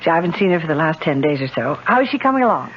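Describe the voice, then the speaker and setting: A woman's voice: low-pitched, very animated, rapid-fire. An actress playing a bitter, proud, skeptical woman in a 1970s radio drama, with a brittle, challenging manner. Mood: guarded. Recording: muffled, hissy 1970s radio broadcast.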